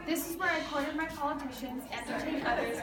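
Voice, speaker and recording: A woman reading scripted answers in the role of a historical man, in a plain, steady way. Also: high voice, brisk, close to an everyday voice. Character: grown man, a historical Canadian figure. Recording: echoey room, far from the mic, with background noise.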